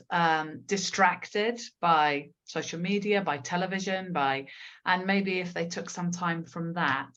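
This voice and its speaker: A woman's voice: lowish voice, a bit bright, dull audio. A woman hosting a group discussion on a video call, friendly as she asks questions and keeps the talk moving. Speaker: host of the discussion event.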